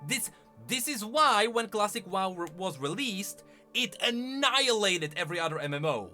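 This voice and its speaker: A man's voice: sits high, lively intonation, normal speed, bright, forceful tone. A man doing casual livestream commentary on gaming for his chat, speaking emphatically and repeating phrases for stress. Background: background music.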